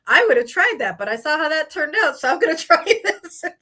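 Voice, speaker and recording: Bright-voiced woman, native Spanish speaker. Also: high-pitched, sing-song, fast. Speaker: podcast guest. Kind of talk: casual conversational storytelling.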